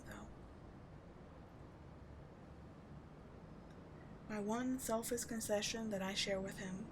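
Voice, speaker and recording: A woman playing an obsessive, devoted villain in a dramatic ASMR roleplay, speaking with theatrical intensity that moves between forceful and hushed. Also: mid-range voice, noisy background, close to an everyday voice. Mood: wounded, resolute. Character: obsessive, devoted female villain wooing a wounded sidekick.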